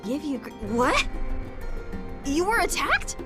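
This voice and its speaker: A woman's voice: high-pitched, wildly swooping pitch, takes it slowly, full character voice. A woman playing an earnest, caring young female engineer in a video game cutscene, speaking expressively. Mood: alarmed. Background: music.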